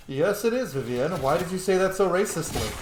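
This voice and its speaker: A man commentates on a video game in a casual, sweary, playful way, muttering his thoughts aloud. His voice sits a little high and swings widely in pitch. The room is echoey, with faint noise behind him. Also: animated, brisk. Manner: sweary, playful, thinking aloud, bursts into silly shouts. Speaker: streamer playing a role-playing video game. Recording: roomy echo, faint background noise.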